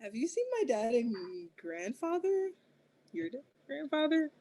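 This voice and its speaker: A woman reads a scheming teenage girl who pleads and wheedles to get her way. The voice sits fairly high, swings widely in pitch and moves at an unhurried pace. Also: very animated, dark tone. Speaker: actor in a table read. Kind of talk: table read acting.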